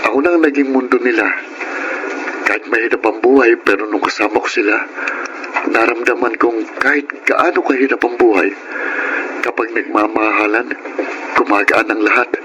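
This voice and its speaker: A young man voicing a devoted, gentle grandson who speaks earnestly and softly about his past. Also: mid-range voice, moderate pace, long pauses, close to an everyday voice. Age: young adult. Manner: earnest, soft-spoken storytelling. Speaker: grandson who works in the city. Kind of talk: radio-style audio drama acting. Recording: noisy audio drama track.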